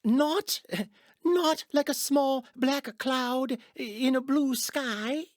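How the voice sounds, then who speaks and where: A woman playing a gentle, naive, earnest storybook bear in a children's audio drama, musing in a slow-witted way. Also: high-pitched, no room sound, clean studio sound. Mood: hopeful.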